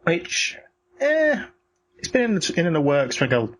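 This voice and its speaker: A man's voice: fairly high pitch, wildly swooping pitch, unhurried. A man chatting casually and giving blunt opinions in a sweary, ranting way. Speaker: podcast co-host. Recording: noticeable background noise.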